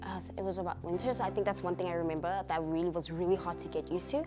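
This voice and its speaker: A woman in her twenties with a South African accent, giving measured answers as an interviewee. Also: fairly low pitch, steady tone. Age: 20s. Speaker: interviewee, English teacher. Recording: music behind the voice.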